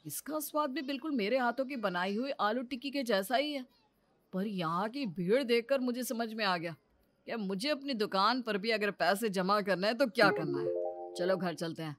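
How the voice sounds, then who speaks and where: A woman's voice: mid-range voice, normal speed, soft background music, lightly acted. A woman voicing a greedy male village snack seller in a children's moral story, chatty, emphatic and persuasive. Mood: scheming.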